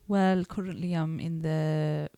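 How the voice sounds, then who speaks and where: A woman in her thirties, a guest on a family podcast, talking in a measured, thoughtful way. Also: low-pitched, steady tone, warm tone, clear, resonant tone, no echo. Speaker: podcast guest, older sister. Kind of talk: casual sibling chat.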